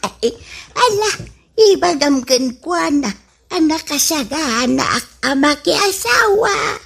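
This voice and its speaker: A woman's voice: high-pitched, lively intonation, slow, creaky, fairly bright tone, exaggerated voice. A woman playing a coquettish older Ilocano widow in a radio comedy drama. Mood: coy, eager.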